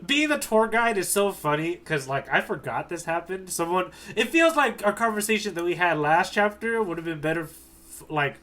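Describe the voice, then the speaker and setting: A man co-hosting a podcast, recapping a story in casual, slangy and animated talk. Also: high voice, normal speed. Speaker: podcast co-host, tour guide. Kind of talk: casual recap chat about a manga.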